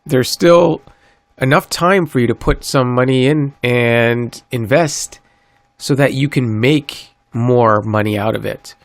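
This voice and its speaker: A man's voice: takes it slowly, no room sound. Stuffy-nosed man hosting a podcast. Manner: earnest, upbeat sales-pitch delivery. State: stuffy, recovering from a cold. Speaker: podcast host. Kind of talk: solo crypto podcast monologue.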